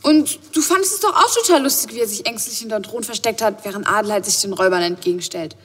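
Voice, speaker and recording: A woman's voice: mid-range voice, fast, fairly bright tone, breathy edge. A woman playing an earnest young schoolgirl who leads a play rehearsal in an audio drama, urging the others on. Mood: coaxing. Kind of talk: film audio drama dialogue. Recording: reverberant hall.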